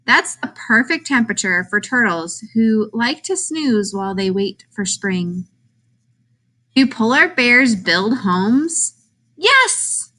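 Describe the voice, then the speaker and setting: A woman reading a children's picture book aloud like a teacher, putting expressive emphasis on questions and answers. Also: fairly high pitch, slow pace.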